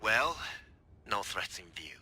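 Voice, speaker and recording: Stoic, deadpan bodyguard, very sharp tone. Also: male voice, fairly low pitch, breathy edge, full character voice. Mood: reserved. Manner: measured, deadpan, terse. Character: calm, stoic male bodyguard, former gangster, dry humour. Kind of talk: video game cutscene acting.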